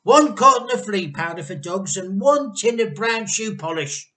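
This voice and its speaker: Man performing a storybook father, excitable, impatient, booming, forceful, high shouts. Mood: excited. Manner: booming, exclamatory, drawn-out shouts.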